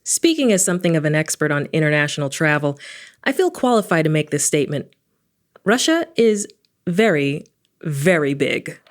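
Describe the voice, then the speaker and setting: A woman's voice: deep voice, sing-song, normal speed. A woman playing a wry, irreverent adventurer, narrating her story in the first person in a casual, sardonic way for an audio drama. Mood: wry, descriptive. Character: wry, irreverent female adventurer and occult investigator.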